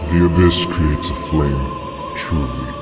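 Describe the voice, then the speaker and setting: An ancient dragon elder, speaks in an extremely low voice drenched in long reverb. His delivery is slow, grave and prophetic, like solemn lore told in a fantasy comic dub, with music clearly behind it. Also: steady tone. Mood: ominous. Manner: solemn, ominous, deliberate storytelling. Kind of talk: dramatic comic dub acting.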